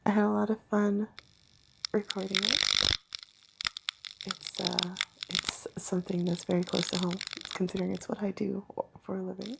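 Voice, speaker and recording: A woman hosting ASMR, chatting softly in a gentle, intimate murmur, sometimes dropping into a whisper. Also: mid-range voice, level tone, moderate pace, vocal fry, long pauses, very noisy. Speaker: ASMR host. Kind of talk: soft-spoken ASMR chat.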